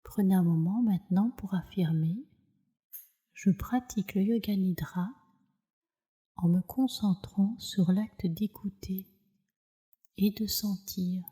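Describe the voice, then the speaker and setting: A woman guides a relaxation practice in a soothing, soft voice. Her tone is deeply mellow and warm, at a medium pitch. She takes her time, with slow, measured phrases that trail off breathily. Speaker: yoga nidra teacher, meditation guide.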